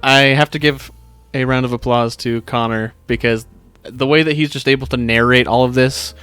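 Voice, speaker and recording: A man's voice: mid-range voice, steady tone, moderate pace, a bit bright, clear, resonant tone, faint music behind. A man chatting casually as a co-host in a group conversation. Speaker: channel co-host, narrator and editor. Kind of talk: casual chat.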